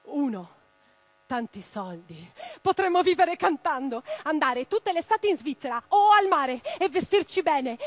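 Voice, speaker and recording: A woman's voice: high-pitched, sing-song, unhurried, no room sound. An actress playing an impassioned, reflective female socialist revolutionary in a theatrical radio drama monologue, with a dramatic, declamatory delivery. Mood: excited. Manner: declamatory, dramatic, swinging from hushed to forceful.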